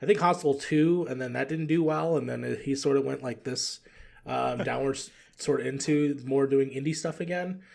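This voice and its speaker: A man's voice: medium pitch, moderate pace, creaky, darkish tone. A man chatting casually and giving his opinions in a rambling way as a podcast guest. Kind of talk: casual chat.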